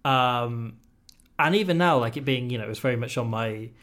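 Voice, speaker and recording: A man's voice: mid-range voice, a little fast, a bit bright. Welsh guest in his thirties, dry jokey podcast chatter. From Wales. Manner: joking, dry gallows humour. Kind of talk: casual rugby podcast chat.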